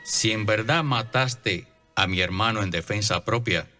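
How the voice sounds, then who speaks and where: A man's voice: lowish voice, a little slow, bright, forceful tone, faint music behind. An actor playing a repentant young nobleman turned humble helper, speaking earnestly and formally in radio drama style. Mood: grave, forgiving.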